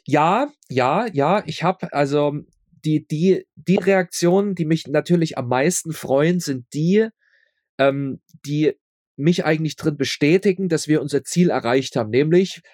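A man answering casually as an interview guest, explaining haltingly and often repeating his words. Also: a little high, a little mellow, no echo, clean studio sound. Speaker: audio drama maker being interviewed.

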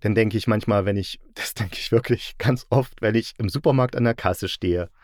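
Lower-voiced man hosting, dry sound. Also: normal speed, darkish tone, no echo. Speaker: podcast host. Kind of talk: casual chat.

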